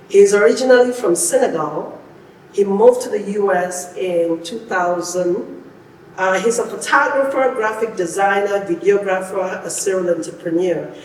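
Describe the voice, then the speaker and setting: A woman hosting a podcast, introducing and interviewing with an emphatic delivery that repeats phrases for effect. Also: medium pitch. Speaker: podcast host. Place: indoor podcast studio. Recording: echoey, reverberant room, distant mic, faint noise.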